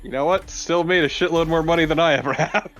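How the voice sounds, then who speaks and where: A man's voice: a little high, quick pace, fairly bright tone, a little hiss or hum. A man co-commentating on a video game, chatting casually with sarcastic banter among friends.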